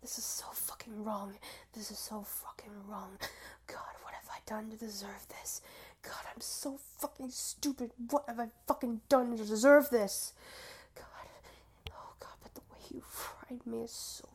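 A woman acting as a guilt-torn young woman who pleads in hushed, repeated whispers. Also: high voice, sing-song, moderate pace, creaky, a little hiss or hum, exaggerated voice. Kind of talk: amateur audio roleplay.

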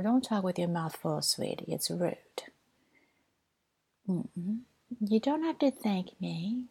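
A woman performing an intimate audio roleplay, speaking softly and tenderly, often in a whisper. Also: on the low side, creaky, slightly rough. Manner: soft, soothing, often whispered; tender, doting.

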